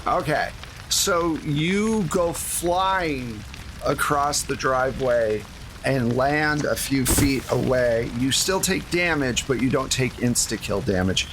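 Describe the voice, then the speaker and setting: Guy game master narrating a tabletop rpg, casual chatty, mid pitch, bit of rainy noise in the back. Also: expressive, slow. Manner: casual, conversational.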